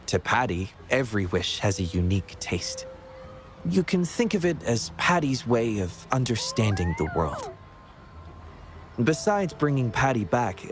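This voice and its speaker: A man playing a gentle, modest young scientist in a video game, speaking in a polite, measured, reassuring way. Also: mid-range voice. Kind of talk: video game character acting.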